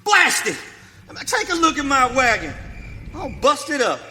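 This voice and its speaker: A man's voice: extremely high pitch, wildly swooping pitch, a little slow, pushed and bright, heavily acted. A man playing a cranky, forever complaining settler father with a rustic American accent in western radio theater. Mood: irate. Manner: folksy, griping, exasperated. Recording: reverberant hall, faint background noise.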